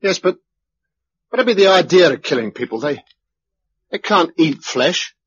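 An actor playing a blunt, sardonic, down-to-earth survivor in a British radio drama, with a British accent and colloquial way of talking. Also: male voice, a little high, lively intonation, measured pace. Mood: uneasy, wry. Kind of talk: mid-century British radio drama acting. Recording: dull, noisy old radio recording.

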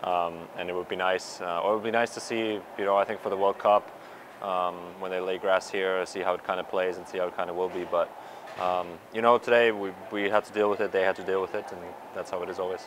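Low, flat-voiced young man in a postgame scrum. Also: quick pace, noisy background. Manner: hesitant, many fillers and restarts. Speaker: pro soccer player. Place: stadium media area in front of sponsor backdrop. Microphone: reporters' handheld mics and recorders held close.

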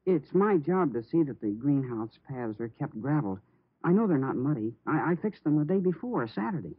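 An actor playing a nervous, polite teenage stepson in an American 1950s radio drama, earnest and hesitant. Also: male voice, fairly high pitch, very animated, moderate pace, slightly rough, dry recording. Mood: earnest, uneasy. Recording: muffled, narrow-band vintage radio recording.

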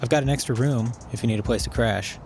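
A man's voice: mid-range voice, fast, very noisy, lightly acted. An actor playing a weary, wry man bent on revenge in an audio drama, with a dry, understated delivery. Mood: determined. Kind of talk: naturalistic audio drama acting.

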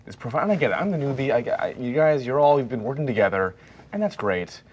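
A man's voice: mid-range voice, lively intonation, fast talker, husky, lo-fi sound. A man playing a tabletop roleplaying game, talking in character in an exasperated, pressing way. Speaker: player.

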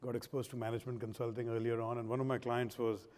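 Man in his forties from India, executive panelist, earnest and halting, lapel mic in a reverberant hall. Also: mid-range voice, flat delivery, a little mellow. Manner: earnest, hesitant with many fillers. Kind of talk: conference panel talk. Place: large conference stage.

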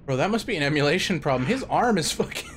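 A young man live-streaming a horror game on a headset mic, joking and cursing, with loud excited reactions. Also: on the high side, fast, noisy background. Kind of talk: casual live-stream commentary.

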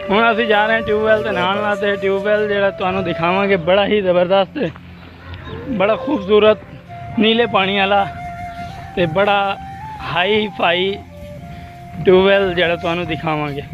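A man's voice: high-pitched, fairly even intonation, normal speed, long pauses, highs cut off. A man in his thirties with a Pakistani Punjabi accent gives effusive village vlog commentary, often repeating his descriptions. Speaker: vlogger. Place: outdoors on a rural road and by a pool. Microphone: handheld phone at arm's length. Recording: music laid over the voice. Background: music.

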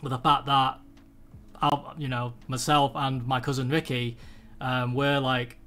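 A man's voice: mid-range voice, level tone, unhurried, bright, forceful tone, soft background music. A young man with a British accent, chatting casually on a podcast over a video call from home, full of fillers and restarts. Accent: British English. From United Kingdom. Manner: rambling, many fillers and restarts. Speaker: podcast host. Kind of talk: casual chat. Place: home room, indoors.

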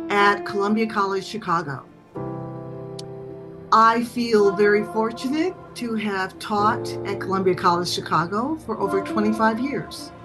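Older woman speaking over guitar music. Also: mid-range voice. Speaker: film and television professor. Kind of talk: personal testimonial to camera. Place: room at home.

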